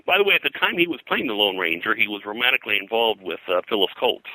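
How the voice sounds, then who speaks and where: Deep, very bright-voiced older man. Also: expressive, fast talker, no echo, low-quality recording. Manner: rambling, digressive, hesitant. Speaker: pop culture historian, interview guest. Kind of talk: anecdotal interview talk.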